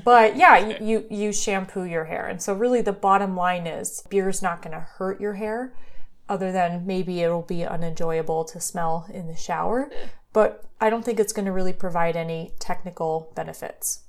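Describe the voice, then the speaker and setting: A woman's voice: fairly low pitch, steady tone, moderate pace. A woman co-hosting a podcast as a cosmetic scientist, chatting casually and making joking asides. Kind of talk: casual chat.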